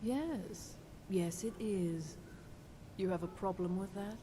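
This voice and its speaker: A woman's voice: medium pitch, slow pace, dark tone, in a large hall, slight background noise, close to an everyday voice. A woman voicing a vain, paranoid female wizard in a fantasy video game, haughty and indignant in manner. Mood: scheming, impatient. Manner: haughty, indignant, self-admiring.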